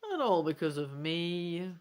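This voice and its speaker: A woman's voice: on the low side, very animated, slow pace, darkish tone, clean tone. A woman reading the part of an earnest, dramatic young adventurer in a fantasy gamebook. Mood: miserable.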